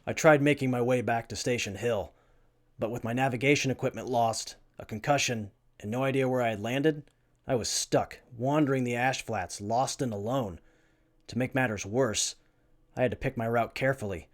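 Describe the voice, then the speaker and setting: A man's voice: medium pitch, moderate pace, natural voice. A man playing an earnest, brainy young survivor who tinkers with armor, in a post-apocalyptic audio drama. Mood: weary, earnest.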